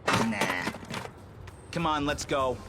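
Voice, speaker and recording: A man's voice: medium pitch, animated, extremely slow. A man playing a brash, wisecracking teenage mutant turtle in a video game, cocky and casual. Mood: relaxed. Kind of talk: video game cutscene acting. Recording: loud music under the voice.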